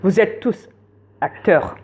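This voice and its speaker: A woman's voice: medium pitch, slow pace, a little mellow, slight background noise. A woman lecturing viewers insistently in a rambling casual monologue, with many fillers. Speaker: conspiracy video host.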